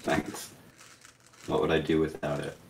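A man's voice: a bass voice, monotone, measured pace, vocal fry, darkish tone, a little hiss or hum. A man bantering casually over gameplay in a dry, sarcastic way. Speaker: gamer co-playing on a shared controller. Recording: distant mic, faint game music. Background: faint video game music and sounds.

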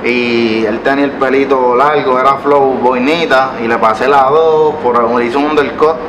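A man's voice: medium pitch, fairly even intonation, normal speed, a crowd in the background. A man in his thirties from Puerto Rico with a Puerto Rican Spanish accent, a barber telling his story as an interview guest, with many hesitations and restarts. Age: 30s. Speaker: barber and business owner, guest. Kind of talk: relaxed storytelling interview answers. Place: living-room style studio set. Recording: roomy sound from a distant mic with background noise.

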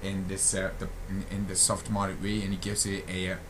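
A young man giving a casual channel update as a gaming video creator, halting and often repeating his phrases, on a built-in computer microphone. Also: low-pitched, monotone, background noise. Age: young adult.

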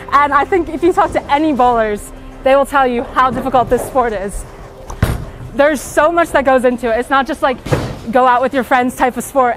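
Teen girl gushing about bowling, chatty and upbeat, bit high voice, music underneath. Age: teens. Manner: chatty, upbeat, lots of fillers. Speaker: high school bowler. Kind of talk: casual interview. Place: bowling alley.

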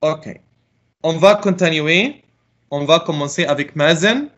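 Middle-aged guy teaching french on a video call, slow and patient, fixes kids' answers, plain laptop mic. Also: on the high side, fairly bright tone, slight background noise. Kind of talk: online class, calling on students.

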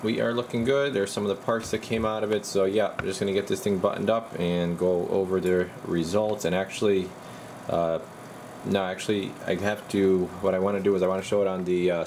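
A man with a low, gravelly voice casually talks through a hands-on repair as a technician, plain-spoken and thinking aloud. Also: level tone, brisk, a little mellow, slight background noise. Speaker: radio repair technician presenting his work.

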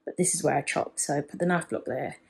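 A woman's voice: low voice, fairly quick, gravelly, noisy background. A woman, chatting casually in a home vlog, filmed on a handheld phone in her kitchen. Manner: chatty, many ums and likes. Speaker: home vlogger. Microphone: phone held at arm's length.

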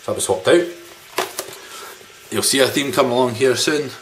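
A man's voice: medium pitch, moderate pace. A man in his thirties giving a rambling, informal, mildly sweary chat to camera as a game collector in a small living room. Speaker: video game collector vlogger. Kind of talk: casual chat showing game pickups to camera. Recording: background noise, room ambience.

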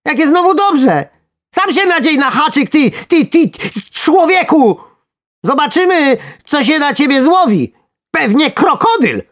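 A storyteller voicing an indignant, panicky little talking worm in a storybook, with a shrill squeaky voice and spluttering, sarcastic rants. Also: wildly swooping pitch, takes it slowly, few pauses, a little mellow, dry recording, clean studio sound, exaggerated voice. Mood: furious. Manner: ranting, spluttering protests.

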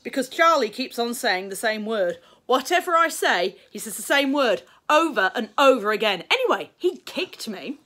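A woman's voice: on the high side, very animated, moderate pace, few pauses, sharp tone, pitched above the performer's own voice, heavily acted. A woman voicing a hot-tempered but caring young English boy, an older brother in a storybook, with a childish manner. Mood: indignant, furious.

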